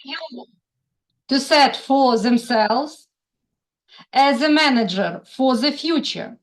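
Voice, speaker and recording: High-voiced woman, slow polite questions. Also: expressive, low-quality recording. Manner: slow, polite, formal address. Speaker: moderator.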